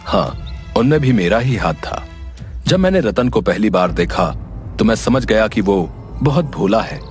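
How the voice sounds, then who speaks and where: A man's voice: fairly low pitch, expressive, unhurried, darkish tone. A man playing a fake holy man, a thief confessing in a story. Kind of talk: dramatized story acting. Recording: music under the voice.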